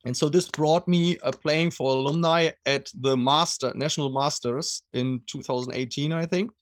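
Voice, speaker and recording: A man's voice: on the high side, steady tone, moderate pace, dry recording. A middle-aged man with a German accent, a water polo coach talking in a casual interview, hesitant with many fillers and restarts.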